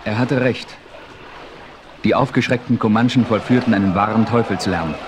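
Guy as a commanding cowboy hero narrating, lowish voice, dramatic storytelling, slightly noisy old radio play. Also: normal speed, natural voice. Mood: measured storytelling. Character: resolute, commanding Western adventurer telling his story. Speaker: narrator and lead character.

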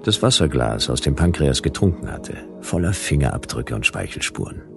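World-weary private eye, low gravelly voice, dry and laconic, noir radio play narration. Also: male voice, very animated, moderate pace, over music, exaggerated voice. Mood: dry, wry. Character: wry, world-weary private detective in a sci-fi future. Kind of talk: first-person noir narration and dialogue in radio drama.

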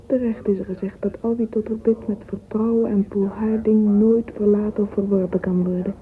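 A woman's voice: mid-range voice, level tone, moderate pace, close to an everyday voice. An actress playing a dreamy, yearning, romantic young woman in a radio drama monologue. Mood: pious. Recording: thin phone-like old radio recording, noisy.

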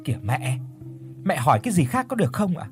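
A man's voice: mid-range voice, racing, faint music behind, close to an everyday voice. A man voicing an irritated young daughter who snaps at her mother. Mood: curt. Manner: sharp, scolding.

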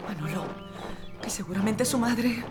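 A woman's voice: fairly high pitch, normal speed, music in the background, natural voice. An actress playing a protective, defiant woman fleeing war in an audio drama, forthright and sardonic, with an accent from Spain. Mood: bitter, reproachful. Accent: Spanish, from Spain.

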